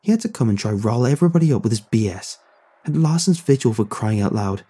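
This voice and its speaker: A man voicing an angry teenage quarterback who rants loudly with cold scorn. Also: on the high side, big pitch swings, fast, husky, voice pushed up. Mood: contemptuous. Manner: loud, scornful ranting.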